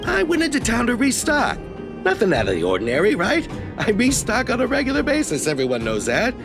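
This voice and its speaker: A man's voice: extremely high pitch, wildly swooping pitch, brisk, over music, exaggerated voice. A man voicing a shifty, blustering merchant in a video game, theatrical and wheedling, proud of his reputation and easily cowed. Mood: defensive, insistent.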